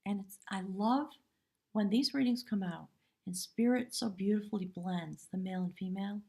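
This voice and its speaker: A woman with a hoarse voice giving a live spiritual tarot reading in measured, reverent short phrases. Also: medium pitch, a little mellow. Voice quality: husky, breathy. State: hoarse from much talking. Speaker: tarot reader, live stream host.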